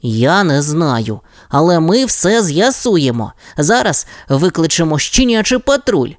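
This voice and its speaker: A woman voicing a keen young male digger pup with an excited, bright storybook voice. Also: sits low, a little slow, no room sound, clean studio sound, pitched above the performer's own voice.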